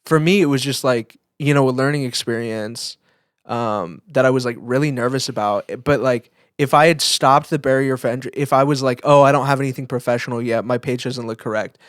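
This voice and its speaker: Low-pitched man chatting, close dry sound. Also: brisk, dry recording. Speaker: podcast co-host. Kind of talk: casual podcast conversation.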